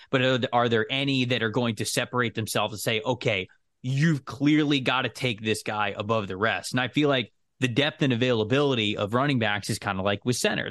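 A man's voice: mid-range voice, fairly bright tone, dry recording, clean studio sound. A man co-hosting a sports podcast, talking through football analysis casually and explaining his points with careful clarifications.

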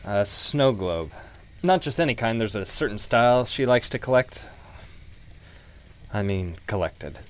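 A man playing a hesitant, guilt-ridden widowed husband confiding in an audio drama, with halting delivery. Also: on the low side, lively intonation. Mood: awkward, hesitant. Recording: background music under the voice.

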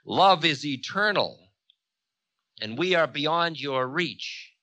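A man's voice: on the high side, animated, takes it slowly, bright, forceful tone, no echo, pitched above the performer's own voice, exaggerated voice. A man voicing a mocking, fearless demon king who makes scornful, grandiose declarations in a mythological story. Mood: proud.

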